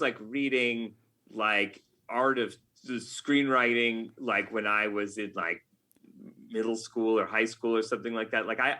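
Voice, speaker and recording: Middle-aged male interviewee, slightly low pitch, sharp bright tone, casual, halting delivery. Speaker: podcast guest, game writer. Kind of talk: casual interview talk, reflective anecdotes.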